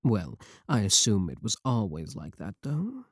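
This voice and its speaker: A man's voice: lowish voice, wildly swooping pitch, measured pace, slightly rough, no room sound, studio quality, exaggerated voice. A man playing a clingy, possessive yandere VTuber, pleading defensively and intimately with a single viewer in an ASMR roleplay. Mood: obsessive, coaxing.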